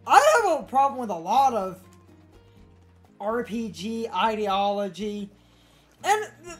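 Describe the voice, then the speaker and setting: Female gaming host, animated and swinging widely in pitch, chatting casually as she comments on play. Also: slow, faint music behind.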